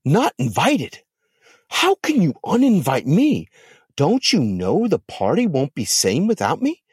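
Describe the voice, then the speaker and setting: A man voicing a vain, haughty female demon with a shrill, indignant manner. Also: very animated, moderate pace, a little mellow, no echo, clean studio sound. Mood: outraged.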